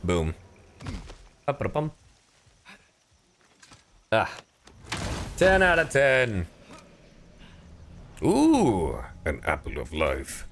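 A male streamer with a fairly low, very animated voice gives live game commentary. He is playful and profane. Faint noise and clicks sit behind him. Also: extremely slow, creaky, pauses often.

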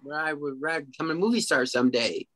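A young man with a high voice takes part in an interview over a video call. He is a playwright and talks eagerly, promoting himself. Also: fast, fairly bright tone. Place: indoor room on a video call. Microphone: computer video call.

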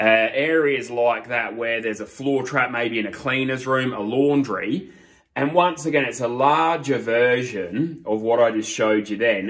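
A man's voice: medium pitch, a bit bright. A man, a master plumber explaining step by step to camera from a small storeroom. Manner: slow, deliberate, explains step by step while holding up pipe fittings. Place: small storeroom with shelves, indoors. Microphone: camera in front at close range.

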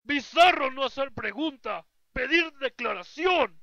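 A man's voice: very high voice, expressive, measured pace, creaky, pushed and bright, highs cut off, slight background noise, heavily acted. A man with a Latin American Spanish accent voicing a dim-witted, childish, blunt superhero clone who talks in broken grammar, in a video game fandub. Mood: declaring. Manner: broken caveman grammar with bare infinitives.

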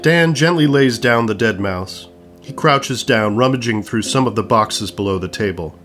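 A man's voice: fairly low pitch, lively intonation, normal speed, a bit bright, faint music behind. A man reading scripted narration for an audio play, describing action in stage-direction style.

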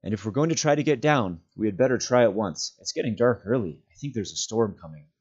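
A man's voice: fairly low pitch, animated, quick pace, darkish tone, slightly rough. A determined young hobbit adventurer, played by a man reading a storybook aloud. He speaks earnestly and with emphasis, like someone set on his course. The recording is slightly dull. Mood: resolved.

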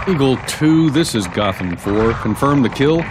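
An actor playing a gruff, authoritative prison security captain in an audio drama, curt and sneering. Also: male voice, medium pitch, animated, moderate pace, noisy background, close to an everyday voice. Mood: brisk, official. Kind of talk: audio drama acting with military radio jargon.

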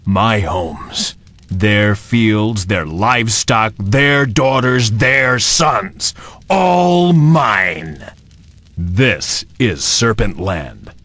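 An actor playing a ruthless, arrogant young heir to a warlord clan, speaking imperiously and with menace in a video game. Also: male voice, on the low side, animated, very slow, fairly bright tone, no echo, full character voice. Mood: cold, possessive. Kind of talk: video game cutscene acting. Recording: dramatic game music behind.

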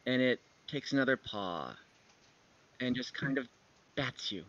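A man improvising halting, conversational narration as the game master of an online tabletop game. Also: medium pitch, animated, a bit bright. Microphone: video call. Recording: dull, band-limited video call audio.

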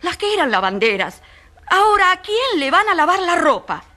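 A woman's voice: extremely high pitch, very animated, moderate pace, bright, forceful tone, full character voice. An actress in a radio drama playing a passionate, indignant woman who defends the poor, speaking emphatically in repeated, building lists. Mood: bitter, indignant.

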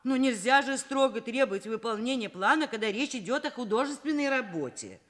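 An actress in a Soviet radio drama playing a middle-aged woman professor and institute director, brisk and caring but easily exasperated. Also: a little high, animated, close to an everyday voice. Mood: polite, firm.

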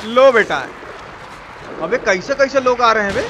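High-voiced Bihari man livestreaming a game. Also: unhurried, noisy background. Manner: colloquial, playful exclaiming. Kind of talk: casual live gameplay commentary, chatting with viewers. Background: video game sound.

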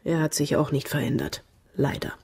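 A woman playing an anxious, brittle young heiress in a German radio play, halting, timid and pleading. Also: sits low, steady tone, gravelly. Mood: subdued. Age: young adult.

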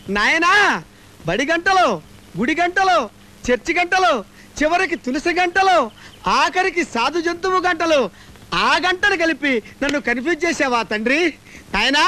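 A man's voice: very high-pitched, pushed and bright, a little hiss or hum, exaggerated voice. An actor playing a frantic, scheming man in a film comedy, wailing and pleading melodramatically. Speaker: comic lead in a film. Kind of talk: slapstick film comedy acting.

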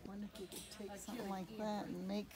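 A woman's voice: mid-range voice, flat delivery, fast, crowd noise. A woman chatting casually as a shopping companion, making upbeat remarks about things she finds in a thrift store. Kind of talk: casual chat. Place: busy thrift store indoors. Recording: roomy and distant from the mic, noisy store.

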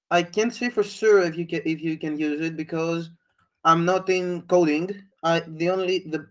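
A man's voice: high voice, darkish tone. Young male speaker, tentative, filler-heavy, plain voice. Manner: hesitant, tentative, many fillers. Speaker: conference speaker. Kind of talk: answering audience questions.

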